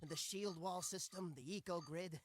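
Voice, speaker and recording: A man's voice: sits high, normal speed, a little hiss or hum, natural voice. An actor voicing a fretful male tech genius in a video game, talking in nervous technical rambles. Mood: fretful, rambling. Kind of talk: animated video game cutscene acting.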